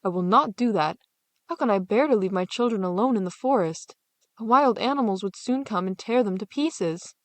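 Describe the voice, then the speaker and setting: A woman voicing a weary, soft-hearted father in a fairy tale, plain, caring and mildly stern. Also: mid-range voice, expressive, normal speed, no echo, natural voice. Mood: reluctant, sorrowful.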